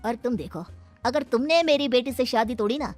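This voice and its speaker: A woman's voice: on the high side, brisk, lightly acted. A woman voicing a sly, smug middle-aged father in a cartoon, talking in a taunting, self-assured way. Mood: threatening. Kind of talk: scripted Hindi cartoon dialogue. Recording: music behind the voice.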